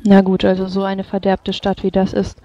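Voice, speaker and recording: A woman's voice: medium pitch, monotone, normal speed, warm tone, dull audio, lightly acted. A woman playing a businesslike, politely formal official taking a record in a tabletop roleplay, with some hesitation. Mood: polite probing.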